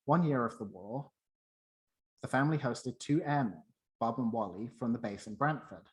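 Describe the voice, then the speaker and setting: A man's voice: medium pitch, normal speed, low-quality recording. A man presenting a scripted talk and narrating a story.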